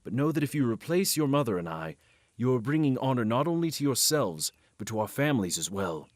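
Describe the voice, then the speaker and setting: A man acting in a fantasy audio drama as an earnest middle-aged father and guardian, explaining things in a measured way with hesitant pauses. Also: medium pitch, sing-song, no echo, clean studio sound, lightly acted. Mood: solemn.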